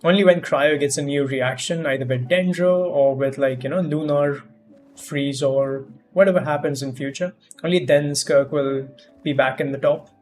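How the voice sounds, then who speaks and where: A man's voice: fairly high pitch, steady tone, moderate pace, a little mellow. Rambling man streamer over game music. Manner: rambling, thinking aloud. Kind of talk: casual livestream gameplay commentary.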